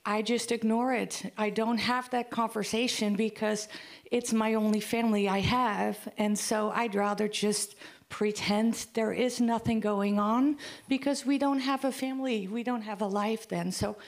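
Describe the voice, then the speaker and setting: A woman from the Netherlands in the audience, asking a heartfelt, halting question from the floor. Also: a little high, steady tone. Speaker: audience member.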